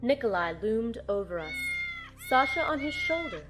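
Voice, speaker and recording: A woman's voice: fairly high pitch, wildly swooping pitch, moderate pace, over music. An actress playing an earnest young girl in an audio drama, telling the story in first person and speaking in it. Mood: tense narration. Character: earnest young girl narrating her team's battle.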